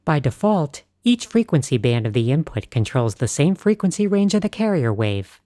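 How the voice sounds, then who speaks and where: A woman hosting a tutorial, narrating scripted explanations in a clear, explanatory tone. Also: deep voice, very animated, moderate pace, warm tone, dry recording, clean studio sound. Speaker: host.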